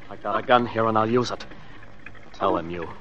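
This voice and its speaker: A man's voice: fairly low pitch, brisk, fairly bright tone, natural voice. A man playing a threatening gunman in an old radio thriller, talking tough and curt. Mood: menacing. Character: menacing gunman, an escaped killer hijacking a car. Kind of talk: 1940s radio thriller acting. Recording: muffled, noisy old radio recording.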